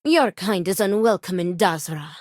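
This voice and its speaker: A woman's voice: fairly low pitch, animated, normal speed, a little mellow, no room sound, clean studio sound, natural voice. A woman playing a stern, dutiful overseer of a family duel in a video game, speaking in formal, precise phrases. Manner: formal, precise, clipped phrasing without contractions. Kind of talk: scripted video game character dialogue.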